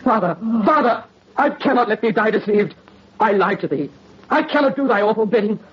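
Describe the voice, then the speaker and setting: An actor playing a count's devoted, anguished son, earnest and formal, in old-time radio horror style. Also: male voice, high-pitched, lively intonation, a little fast, husky. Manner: earnest, archaic formal diction. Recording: muffled old radio recording.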